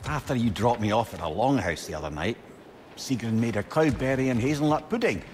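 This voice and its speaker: A man's voice: mid-range voice, expressive, fairly quick, slight background noise, natural voice. An actor playing a chatty, wry, sentimental male companion in a video game, telling stories in a wordy, teasing way. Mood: coy. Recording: loud game ambience behind.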